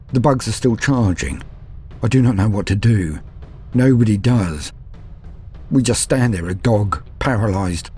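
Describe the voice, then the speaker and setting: Male narrator as despairing, awestruck soldier in audio war drama. Low, theatrical, deliberate delivery over music. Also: darkish tone. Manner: grave, theatrical, deliberate phrasing. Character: doomed human soldier, fearful, awestruck, despairing. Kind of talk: dramatic first-person scripted narration.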